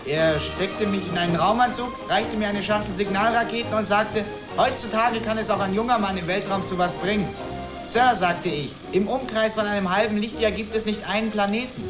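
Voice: medium pitch, moderate pace, lightly acted. An actor playing a polite, plucky twelve-year-old boy in a radio drama. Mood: earnest storytelling. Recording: music behind the voice.

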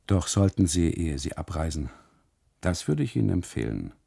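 A man's voice: sits low, very animated, normal speed, vocal fry, mellow, slightly rough, heavily acted. A man voicing a mocking, leisurely custodian in a literary radio monologue, speaking formally and declaiming without hurry. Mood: coolly persuasive. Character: mocking, leisurely smoking male custodian, coolly expansive.

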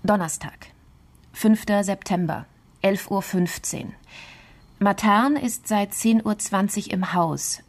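A woman's voice: mid-range voice, slow. Composed, matter-of-fact female investigator. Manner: matter-of-fact, clipped case reporting. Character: composed, determined female investigator laying out a case. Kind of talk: crime radio play dialogue.

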